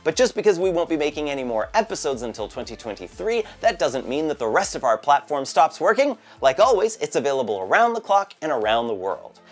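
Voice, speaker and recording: A man in his thirties presenting scripted monthly tech news from a bright home office, with a lively, lightly joking delivery. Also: on the high side, a little fast, hardly any pauses, faint music behind.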